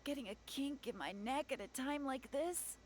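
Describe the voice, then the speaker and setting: A woman's voice: sits high, normal speed, slight background noise, close to an everyday voice. A woman voicing a cheerful young female llama-like bartender in a game, polite and chatty with a touch of self-pity. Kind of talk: game character dialogue.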